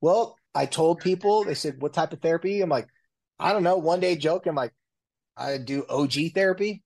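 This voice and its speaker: A man's voice: on the high side, moderate pace, gravelly, a little mellow. A man in his forties, a therapist, talking loosely in a casual interview with many fillers and restarts. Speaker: guest, family and marriage therapist.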